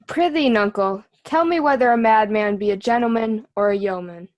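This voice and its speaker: Cheeky court fool, female actor, high voice, teasing riddles in classical stage English. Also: normal speed, darkish tone, lightly acted. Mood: teasing, wry. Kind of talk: remote reader's theatre Shakespeare.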